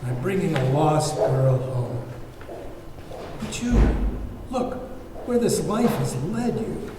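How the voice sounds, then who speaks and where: A man playing a smug, self-righteous hired kidnapper with a condescending, measured delivery in a script table read. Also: a little high, big pitch swings. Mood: coldly persuasive. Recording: very echoey, distant, faint noise.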